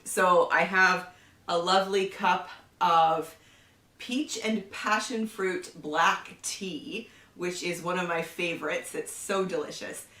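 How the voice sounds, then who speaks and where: A woman with a cold hosting a casual book review, chatty, often laughing and clearing her throat. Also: lowish voice, sharp tone. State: has a cold. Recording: roomy echo, somewhat far from the mic.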